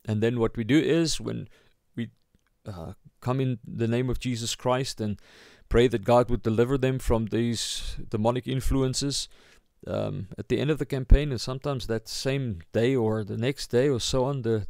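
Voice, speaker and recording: A man with a South African accent giving an informal sermon as a pastor, halting as he searches for words. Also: mid-range voice, fairly even intonation, gravelly, a little mellow, dry recording, studio quality. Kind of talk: informal sermon, Bible teaching.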